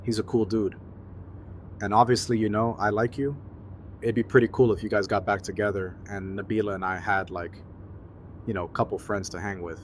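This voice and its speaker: An easygoing buddy in an audio drama, played by a man, speaks in a slightly low voice. He is laid-back and friendly, chatting in loose slang over faint vehicle noise. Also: steady tone, moderate pace, natural voice. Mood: friendly, upbeat. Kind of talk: fan audio drama acting, casual dialogue.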